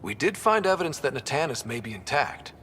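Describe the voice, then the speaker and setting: An actor playing a young human space pathfinder in a video game, a steady and determined leader. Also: male voice, medium pitch, a little fast, background noise, lightly acted. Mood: serious. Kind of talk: video game cutscene acting.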